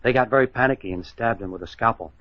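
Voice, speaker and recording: A man acting a dazed hospital orderly who gives his account haltingly in an old-style radio mystery. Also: medium pitch, no room sound, natural voice. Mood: anxious, explaining. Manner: halting, hesitant answers. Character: dazed male hospital orderly telling a false story. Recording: dull lo-fi old radio recording.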